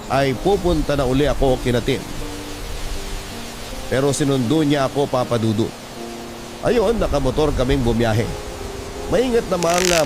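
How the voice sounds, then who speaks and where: A man with a medium-pitched voice serves as narrator, reading a personal love story from a script. A clear music bed plays behind him as he tells it in the first person.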